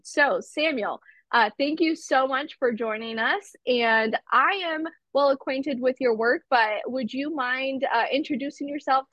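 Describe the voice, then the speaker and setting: A woman's voice: a little high, a bit bright, no room sound, highs cut off. A woman hosting a podcast interview in a friendly way. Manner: friendly, laughs easily. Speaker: podcast host.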